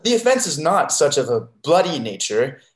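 Young guy as loyal wary sailor in shakespeare, earnest, halting, echoey video call. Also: fairly high pitch, big pitch swings. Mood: wary, caring. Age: young adult. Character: devoted, wary male sea fighter in a stage comedy.

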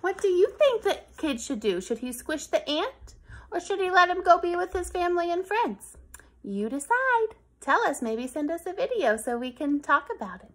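Lady in her 30s doing a kids read-aloud, really high voice, sing-song and swoopy. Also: moderate pace. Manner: expressive, sing-song, talking to children. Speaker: teacher reading a picture book aloud. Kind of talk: read-aloud for young children. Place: living room on a couch, indoors.

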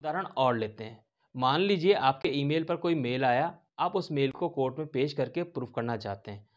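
A middle-aged man giving a formal, measured explanatory lesson on law, as an advocate speaking to camera. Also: mid-range voice, animated, lo-fi sound. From India. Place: small indoor office with books and curtains. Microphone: lapel mic.

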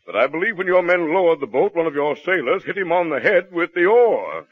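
An actor playing a matter-of-fact ship's doctor in a 1940s radio drama. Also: male voice, on the high side, sing-song, fairly quick. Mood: calm. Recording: thin, phone-like 1940s radio recording.

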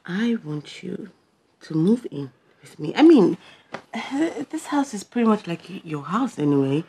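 An actress playing a determined young girlfriend in a screen drama, pleading her case emphatically and haltingly when upset. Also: on the low side, sing-song, mellow. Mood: earnest, insistent. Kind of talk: naturalistic screen drama dialogue.

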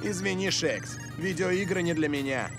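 A man's voice: fairly high pitch, moderate pace, music in the background, lightly acted. An actor voicing a teasing, blunt young male soccer teammate in a cartoon dub.

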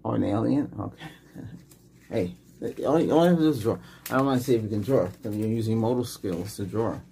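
A middle-aged man speaks in a fairly low voice with plenty of fry. He plays a doctor in an ASMR exam, feeding out brief questions and instructions. There is faint noise behind him in a small room. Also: fairly quick, mellow. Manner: short simple questions and instructions. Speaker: ASMR role-player acting as ER doctor. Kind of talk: ASMR medical exam roleplay, improvised. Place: small home room set up as clinic.

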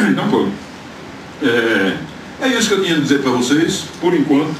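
A man's voice: fairly high pitch, big pitch swings, moderate pace, fairly bright tone, far from the mic. An older man on a panel speaks in a playful, joking, folksy way into a handheld mic in a large auditorium. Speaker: lecturer on a panel. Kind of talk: lecture and Q&A. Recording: echoey hall, taped from afar, noisy.

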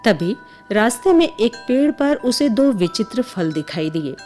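Woman reading a kids bedtime story, takes it really slow, music with chimes behind her. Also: on the high side, big pitch swings, a little mellow. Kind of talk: scripted bedtime story narration for children.

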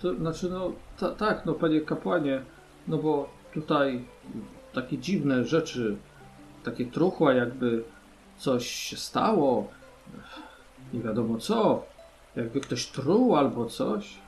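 A man's voice: mid-range voice, animated, takes it slowly, darkish tone. A man voicing a wary, simple fisherman who talks in a hesitant, colloquial and superstitious way. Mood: confused, wary. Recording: music under the voice.

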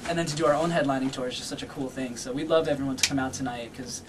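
A man's voice: medium pitch, steady tone, racing, distant mic, noisy background. A man in his thirties, a band member being interviewed at a radio studio, talking eagerly and rambling with many fillers. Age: 30s. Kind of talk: casual interview talk.

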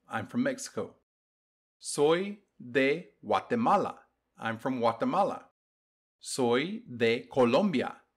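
Slow, patient male language teacher. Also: mid-range voice, breathy edge. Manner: slow, deliberate modeling of phrases for learners. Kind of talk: instructional lesson narration.

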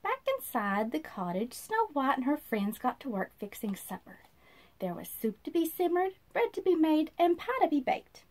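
A woman in her thirties reading a children's storybook aloud in an expressive, sing-song manner, as if to young listeners. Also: sits high, normal speed. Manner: sing-song, animated, asks listeners questions. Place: small indoor room.

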